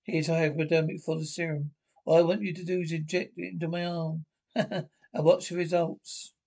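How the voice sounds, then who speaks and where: A man's voice: fairly high pitch, little pitch movement, moderate pace, creaky, darkish tone. A man playing an eager, scornful albino inventor in a radio play, insistent and domineering. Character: eager, scornful albino inventor plotting with his invisibility serum. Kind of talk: radio play script read aloud.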